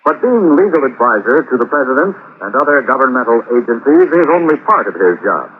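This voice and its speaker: Animated American radio announcer, tinny sound. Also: male voice, medium pitch, a little fast, husky. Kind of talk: scripted 1950s public-service radio narration. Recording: tinny, phone-like old radio recording.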